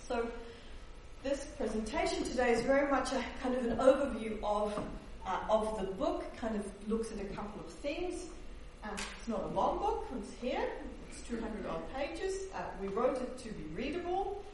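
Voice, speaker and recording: A woman's voice: on the high side, very animated, normal speed. Woman academic giving a hesitant book talk, heard from a distance in a very echoey hall. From Netherlands, raised in New Zealand. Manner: hesitant, many fillers and restarts. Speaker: historian presenting her book. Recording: echoey hall, distant mic, faint hiss.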